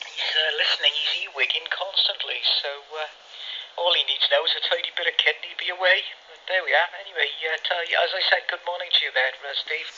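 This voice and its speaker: A man's voice: fairly high pitch, fairly even intonation, fairly quick, pushed and bright, a little hiss or hum. A man chatting casually over the radio in a rambling, chummy way, with mild swearing. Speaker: amateur CB radio operator. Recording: dull lo-fi radio audio, phone recording.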